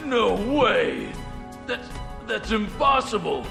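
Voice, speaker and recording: An excitable lookout in a game, gravelly, slow, music behind. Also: male voice, sits high, exaggerated voice. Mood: shocked. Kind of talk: video game cutscene acting.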